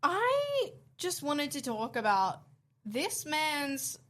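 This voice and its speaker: A young woman co-hosting a podcast, chatting excitedly and swearing freely. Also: high-pitched, drawn-out words. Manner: excitable, swears freely, says "literally" a lot. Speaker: podcast co-host. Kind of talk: casual chat.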